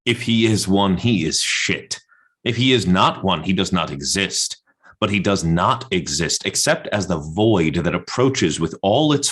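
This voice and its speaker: Radio play role: blasphemous madman poet, played by a man, low register, deliberate and emphatic declamation. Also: a bit bright, breathy edge, exaggerated voice. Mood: blasphemous, declamatory. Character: defiant, blasphemous madman poet raging against God. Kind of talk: theatrical radio play recitation.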